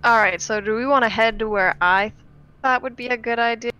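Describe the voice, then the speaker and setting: A woman's voice: fairly high pitch, a little fast, sharp tone, clear, resonant tone, poor audio. A woman talking casually as a player in a tabletop roleplaying game.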